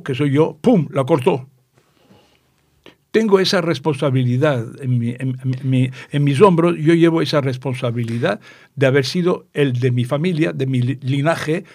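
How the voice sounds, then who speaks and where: An older man with a northern Moroccan Judeo-Spanish accent and a fairly high-pitched voice, recorded dry. He tells things conversationally and playfully, wandering off to explain dialect words. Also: darkish tone, no echo. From Tangier, Morocco. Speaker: interview guest, author. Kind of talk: conversational storytelling.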